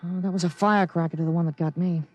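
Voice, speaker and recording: A man's voice: a little high, fairly even intonation, very fast, soft background music, natural voice. An actor playing a precocious thirteen-year-old boy with an adult's memories, matter-of-fact and articulate, in 1950s radio drama style. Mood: calm, certain. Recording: vintage radio broadcast.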